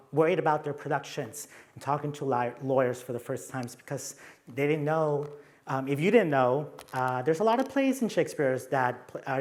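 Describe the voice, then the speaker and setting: A man's voice: medium pitch, darkish tone. A young adult man from Mexico with a Mexican accent, giving a conference talk with slides and playful, self-deprecating asides. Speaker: conference presenter.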